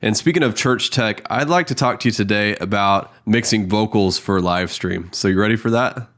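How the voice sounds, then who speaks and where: Low-voiced male show host. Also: moderate pace, fairly bright tone. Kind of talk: hosting a church sound tech interview.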